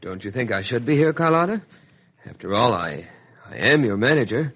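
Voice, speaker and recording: A man playing a self-important actress's manager in a 1950s radio soap, talking in a deliberate, smooth manner. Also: medium pitch, sing-song, fairly bright tone, heavily acted. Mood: polite, insistent. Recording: muffled old 1950s radio recording.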